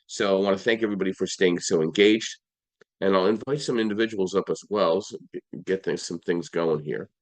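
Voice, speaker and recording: A man hosting a live audio-room podcast, his voice a little hoarse, running through sponsors and lists in an upbeat way with frequent restarts. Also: deep voice, brisk. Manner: upbeat, list-like delivery with restarts. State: slightly hoarse. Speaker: podcast host.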